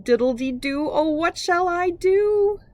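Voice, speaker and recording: A woman's voice: very high voice, animated, measured pace, dry recording, voice pushed up. A woman voicing a panicked little girl in a children's storybook, calling out in singsong exclamations. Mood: singsong dismay. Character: panicked little girl chasing her runaway pumpkin. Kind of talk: children's storybook read-aloud character.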